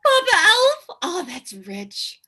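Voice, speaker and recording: A woman's voice: high voice, animated, a little slow, bright, forceful tone, exaggerated voice. A woman playing a wry, amused office secretary in a comedic script read.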